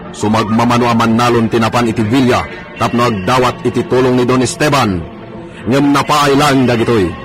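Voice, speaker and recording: A man with an Ilocano accent narrating a radio drama in a dramatic scripted style. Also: mid-range voice. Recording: music behind the voice. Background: dramatic music.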